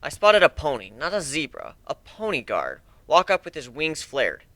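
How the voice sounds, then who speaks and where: Man, amateur dramatic narration, first-person storytelling, forceful tone, animated intonation, dry sound. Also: on the high side, normal speed, no echo.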